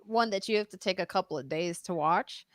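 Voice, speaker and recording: A woman's voice: lowish voice, a little fast, no echo, studio quality. A woman hosting a comedy podcast, telling rambling stories in casual chat. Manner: chatty, rambling storyteller.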